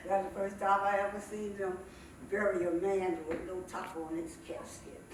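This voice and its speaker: A woman performing as a sassy, cranky old woman comedian, telling stand-up stories in a folksy, drawn-out way with dramatic pauses. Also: mid-range voice, fairly even intonation, close to an everyday voice. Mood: mischievous storytelling. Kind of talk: theatrical stand-up storytelling monologue. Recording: echoey, noisy stage recording from far off.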